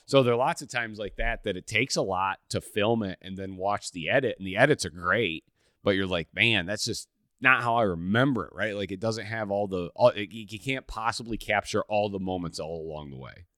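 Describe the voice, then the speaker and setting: A man hosting a hunting podcast, chatting in a relaxed way with lots of fillers and restarts. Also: fairly low pitch, a little fast, no echo, studio quality. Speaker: podcast host. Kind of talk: casual podcast conversation.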